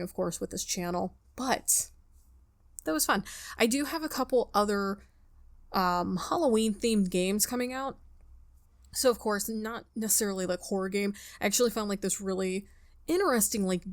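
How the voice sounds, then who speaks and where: A woman hosting a gaming video, casually commenting and reading game text aloud in a chatty, playful way, close on the mic. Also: mid-range voice. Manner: chatty, self-talking, playful. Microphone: close microphone without pop filter. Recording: music behind the voice.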